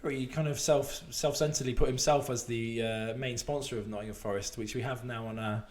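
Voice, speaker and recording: A man with a hoarse voice, chatting casually as a podcast co-host and laughing at himself. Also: mid-range voice, fairly even intonation, a little fast, a little mellow. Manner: chatty, self-mocking, laughs easily. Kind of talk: casual chat.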